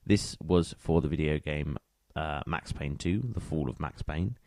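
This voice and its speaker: A man's voice: extremely low pitch, unhurried, darkish tone, dry recording, clean studio sound. A man chatting casually as he hosts a music radio show. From England. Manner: chatty, conversational.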